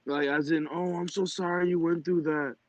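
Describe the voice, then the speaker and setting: A man's voice: a little high, steady tone, normal speed, no room sound. A young man hosting a podcast and talking in a blunt, rambling, profane way. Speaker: podcast host. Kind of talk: casual podcast chat. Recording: dull dry lo-fi sound.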